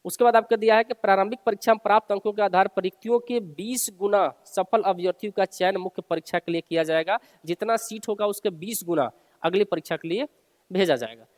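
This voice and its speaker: A male coaching teacher speaks in a notably high voice with a long, hall-like reverb around it. He alternates between reading formal text aloud and explaining it informally. His manner is instructive, and he often restates the important points. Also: brisk. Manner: instructive, repeats and rephrases key points for listeners.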